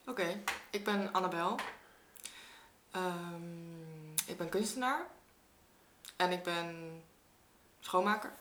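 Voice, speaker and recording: Bright-voiced woman in an echoey room. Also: fairly low pitch, takes it slowly, long pauses. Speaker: guest, artist and cleaner. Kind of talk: casual chat. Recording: echoey room, faint noise.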